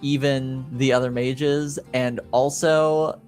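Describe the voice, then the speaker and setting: A man improvising narration as a game master, hesitant and often pausing to find his words. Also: medium pitch, steady tone, a little mellow, soft background music.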